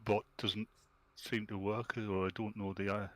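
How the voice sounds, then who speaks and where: A man's voice: on the low side, level tone, measured pace, a crowd in the background. A man bantering casually as a talk show panelist, playful and mock-serious in his delivery.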